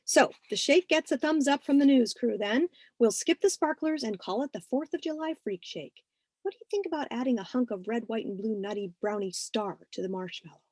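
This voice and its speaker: A woman playing a good-humoured shop owner in her thirties, brisk, wry and encouraging, in a story reading. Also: a little high, lively intonation, darkish tone, no room sound, voice pushed up. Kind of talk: audiobook-style character reading.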